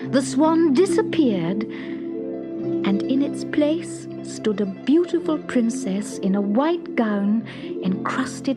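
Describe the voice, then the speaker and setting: A woman narrating a children's story from a script in a measured, expressive storytelling manner. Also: fairly high pitch.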